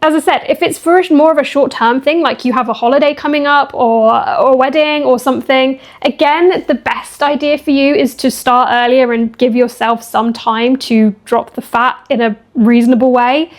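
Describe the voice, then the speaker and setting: Gal vlogging about fitness, bit croaky and husky, chatty, goes off on tangents. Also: female voice, high-pitched, steady tone, normal speed, few pauses. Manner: chatty and upbeat, rambling with asides. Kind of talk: casual talking-to-camera vlog.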